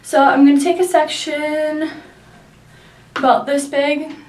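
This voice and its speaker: A woman's voice: high-pitched, flat delivery, slow, far from the mic. A young woman with a nasal voice from a sinus infection, chatting through a hair tutorial with many likes and ums. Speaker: beauty vlogger. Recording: echoey room, mic set back.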